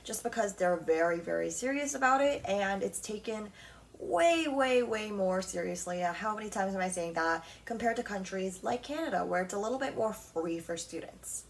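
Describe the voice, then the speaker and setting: A woman's voice: mid-range voice, far from the mic. Chatty young woman, a little off-mic. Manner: chatty, frequent "like" and "um" fillers. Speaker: podcast host. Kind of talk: casual solo podcast chat.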